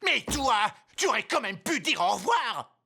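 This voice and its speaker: Haughty cartoon villain, bright and swooping. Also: female voice, a little high, sing-song, normal speed, creaky, slightly rough, exaggerated voice. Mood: whiny, smug. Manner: theatrical, haughty. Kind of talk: French cartoon dub.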